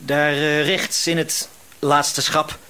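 An actor playing a paranoid, quietly menacing male shopkeeper in a Dutch radio drama, hesitant yet insistent. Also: on the high side, little pitch movement, clear, resonant tone. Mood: wary, suspicious. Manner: hesitant, insistent, suspicious, drawn-out words.

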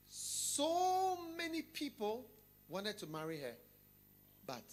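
A middle-aged man preaches in a large hall through a handheld mic. His voice sits high and swoops around a lot, with a bright, slightly husky edge. He hammers points by repeating them. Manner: emphatic, repeats phrases, swings between hushed and loud. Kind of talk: sermon. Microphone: handheld microphone.

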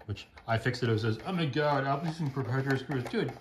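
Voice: mid-range voice, quick pace. Rambling, wry teardown host in a noisy room. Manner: rambling, wry, mildly profane. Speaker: host of a gadget teardown video. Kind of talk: casual hands-on commentary. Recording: noisy room, clicking tools nearby.

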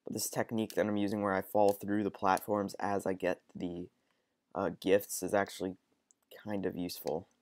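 Stuffy young guy w a cold, kinda low voice, dry close sound, umming thru a let's play. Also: flat delivery, moderate pace, darkish tone, dry recording. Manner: rambling, hesitant, lots of ums. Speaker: gaming channel host. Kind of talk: casual let's-play commentary.

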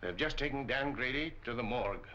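A dull 1940s film soundtrack carries a middle-aged American police sergeant, played by a man. His voice is mid-pitched but bright and forceful, and his talk is plain. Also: a little fast, natural voice. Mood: sober.

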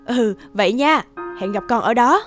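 A woman's voice: on the high side, expressive, normal speed, a bit bright, soft background music. A woman voicing a loving, apologetic mother in a cartoon. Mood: affectionate. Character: loving, apologetic mother who lives abroad. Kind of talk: cartoon voice acting.

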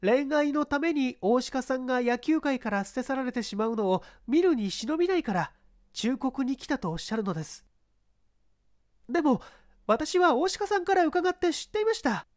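A young woman performed by a female reader gives detailed testimony in a mystery story. Her voice is high and lively in pitch, with polite, formal phrasing. The sound is dry and composed. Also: moderate pace, no echo. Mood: earnest, explaining. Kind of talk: dramatized mystery story reading.

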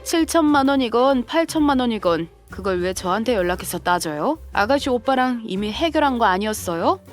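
A woman's voice: on the high side, expressive, fairly quick, soft background music, lightly acted. A woman playing a composed, sarcastic sister-in-law and protective mother in a scripted audio drama. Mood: cool, dismissive.

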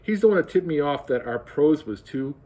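Older man voicing a self-important colonel in a storybook reading: emphatic, a little husky, pitched slightly up. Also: lively intonation, brisk, warm tone. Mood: eager. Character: fussy pompous male army colonel.

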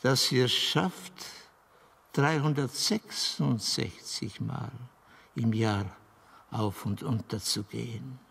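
Slow, husky, patient Moon. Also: male voice, medium pitch, a bit bright, heavily acted. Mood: patient, helpful. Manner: deliberate, explanatory, with weighty pauses. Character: the Moon, patient old-fashioned fairy-tale advisor. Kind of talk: fairy-tale radio play acting.

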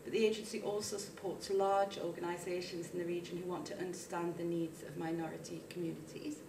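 A woman's voice: fairly low pitch, little pitch movement, fairly quick, vocal fry. A woman chairing a public debate, introducing the panel speakers in a seminar room. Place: small seminar room, indoors. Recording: roomy, noisy, distant microphone.

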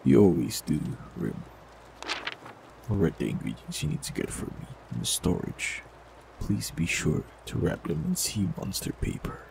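Deep gravelly man, calm roleplay. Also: normal speed, a little hiss or hum, rough recording. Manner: calm, gentle, softly teasing. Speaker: audio roleplay voice actor. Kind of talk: scripted fantasy roleplay, warlock character.

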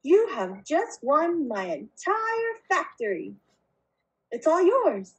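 Puppet show role: eccentric chocolate factory boss played by a woman, very high, chirpy, widely swooping voice. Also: slow pace, heavily acted, rough recording. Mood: delighted. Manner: theatrical, animated.

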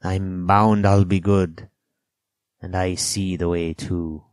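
A man voicing a half-crazed, sly and childish old marooned sailor in a storybook, with rustic dialect, drawn-out words and sudden excitable bursts. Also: low voice, clean tone, dry recording, studio quality. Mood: excited.

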